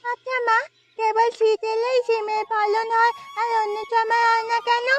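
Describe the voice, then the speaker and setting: A woman's voice: very high voice, little pitch movement, moderate pace. A woman voicing an eager, pleading little village girl with a childlike, whining manner in a cartoon. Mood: curious, pleading. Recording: music under the voice.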